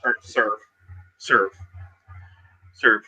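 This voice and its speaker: A man casually narrating a tabletop roleplay, haltingly searching for his words, on a dull, lo-fi recording. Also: lowish voice, sharp tone, husky.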